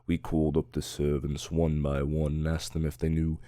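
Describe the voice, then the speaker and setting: A man's voice: very deep voice, monotone, normal speed, mellow, no echo, clean studio sound. A man reading scripted fiction as an audiobook narrator, in a dramatic storytelling style.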